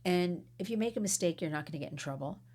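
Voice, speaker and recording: A middle-aged American woman, hoarse and losing her voice, giving an emphatic, rambling talk as an anti-trafficking advocate. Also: lowish voice, lively intonation, quick pace, a little mellow.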